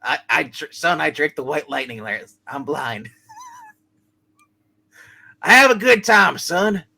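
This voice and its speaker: A man acts out a boozy old townsman from a comic radio play, gravelly and bright. He is hearty, folksy and theatrical, with pitch that swoops around. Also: lively intonation, slow pace, exaggerated voice. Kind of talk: hammed-up live radio play reading.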